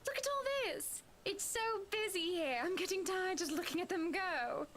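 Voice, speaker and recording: A woman's voice: very high voice, lively intonation, moderate pace, a bit bright, slight background noise, pitched above the performer's own voice. A woman voicing a genteel, chatty wealthy wife in a visual novel, with an airy, gushing manner. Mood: gracious, chatty.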